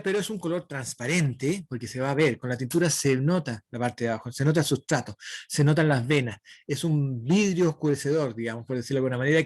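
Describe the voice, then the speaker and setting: A man's voice: a little high, dry recording, clean studio sound. A man giving a woodworking lecture online, explaining step by step and often checking in with his students. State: dry throat. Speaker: woodworking instructor.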